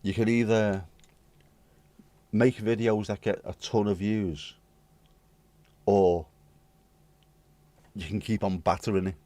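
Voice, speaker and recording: A hoarse man with a British accent, chatting casually with viewers on his livestream and swearing bluntly. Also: fairly low pitch, fairly even intonation, takes it slowly, pauses often, warm tone, no room sound. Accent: British English. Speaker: livestream host. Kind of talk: casual chat reading viewer comments.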